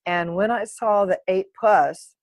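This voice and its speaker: A woman hosting her own podcast, talking in a playful, animated and uninhibited way, close to the mic. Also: medium pitch, unhurried, no room sound, dull audio. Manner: playful, animated, sings and makes silly sounds; uninhibited, swears. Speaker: podcast host. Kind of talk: solo podcast chat.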